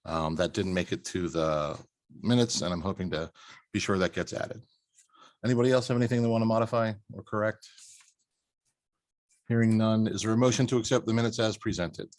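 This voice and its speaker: A man chairing a town board meeting, running through the minutes and votes in a brisk procedural way with fillers. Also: on the low side, a little mellow.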